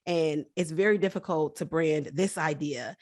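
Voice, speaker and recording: A woman's voice: low-pitched, normal speed, a little mellow, no echo. Earnest woman, dry close sound. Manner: earnest and encouraging. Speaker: personal branding guest. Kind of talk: faith-based conversational interview.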